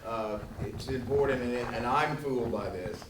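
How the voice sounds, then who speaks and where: A man hosting a conference, speaking informally and haltingly to the room, often repeating words. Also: mid-range voice. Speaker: event host. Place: good-sized meeting room. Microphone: livestream microphone, not amplified in room. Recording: roomy echo, faint background noise.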